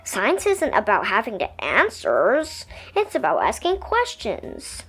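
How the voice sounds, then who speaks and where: A woman reads a picture book aloud for children. Her voice is high and highly melodic, explaining things expressively, with soft music behind her. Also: slow pace, slightly rough. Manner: expressive, explanatory storytelling delivery. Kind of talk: children's science book read-aloud, scripted narration.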